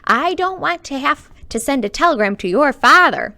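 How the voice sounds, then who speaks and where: A woman's voice: high-pitched, expressive, moderate pace, pushed and bright, no echo, voice pushed up, exaggerated voice. A woman reading aloud as a stern storybook aunt, speaking in a firm, serious, warning manner. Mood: firm scolding.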